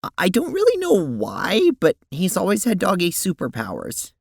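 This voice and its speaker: A woman's voice: lowish voice, huge pitch swings, normal speed, vocal fry, a little mellow, slightly rough, exaggerated voice. A woman voicing a sheepish, stammering girl in an audio drama.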